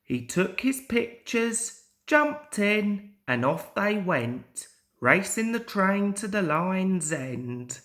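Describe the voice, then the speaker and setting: A young man reading a children's story aloud in a deliberate, expressive storybook manner. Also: high voice. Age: young adult. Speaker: amateur storyteller.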